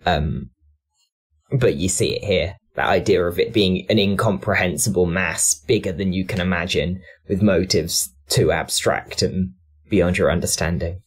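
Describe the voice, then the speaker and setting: A man's voice: sits low, moderate pace, slightly rough. A man talking reflectively and analytically as a panellist on a discussion podcast. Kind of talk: discussion and review podcast talk.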